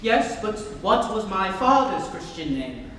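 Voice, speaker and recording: Animated, excitable young gentleman played by a man. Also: high voice, measured pace, full character voice. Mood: anxious. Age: young adult. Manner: theatrical, exclamatory. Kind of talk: dramatic reading of a stage comedy. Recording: echoey hall from far off, noisy.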